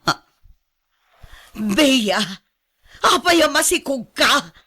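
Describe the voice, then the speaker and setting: A woman's voice: high-pitched, sing-song, very slow, pushed and bright, heavily acted. A woman acting a proud, outspoken middle-aged mother in a radio drama, emphatic and melodramatic, with an Ilocano accent. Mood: brisk, suspicious.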